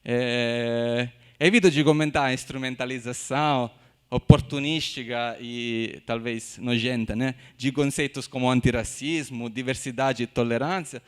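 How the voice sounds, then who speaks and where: A man from Sicily with a Sicilian Italian accent, lecturing in an academic talk. He pauses and restarts often and tags his phrases with questions. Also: medium pitch. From Sicily, Italy. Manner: halting, many "né?" tags and restarts. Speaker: university lecturer. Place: university lecture room.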